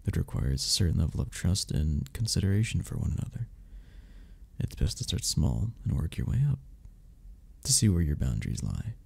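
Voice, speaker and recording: A man playing a gentle, patient, flirty cuddle club member in an intimate ASMR romance roleplay, soft-spoken, considerate and reassuring, with a gravelly, husky voice. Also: low-pitched, big pitch swings, fairly quick, full character voice.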